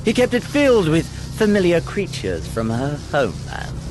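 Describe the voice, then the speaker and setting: An actor playing a bloodthirsty, grandiose imperial warlord prince obsessed with the hunt, in a theatrical video game style with archaic, formal phrasing. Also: male voice, a little high, huge pitch swings, moderate pace. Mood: grand, commanding. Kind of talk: dramatic video game villain acting. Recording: dramatic orchestral music behind.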